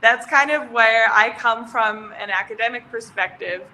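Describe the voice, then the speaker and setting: A woman's voice: on the high side, normal speed, pushed and bright, slight background noise, low-quality recording. A woman in her thirties who grew up in Switzerland, chatting on a video call as a guest, laughing often and restarting her sentences. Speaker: startup founder, guest. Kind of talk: casual interview on a video call. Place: home room, indoors. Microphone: video call webcam.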